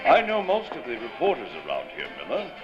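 An actor playing a genial, self-assured veteran film director in a radio drama. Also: male voice, medium pitch, huge pitch swings, a little fast. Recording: dull radio-play recording with music behind.

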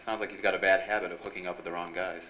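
An actor playing an eager young reporter in a period Hollywood radio drama, speaking in casual wisecracking slang. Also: male voice, deep voice, little pitch movement, rapid-fire, very noisy, close to an everyday voice. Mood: probing. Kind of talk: radio drama acting with narration. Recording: dull lo-fi live radio-play recording, music behind.

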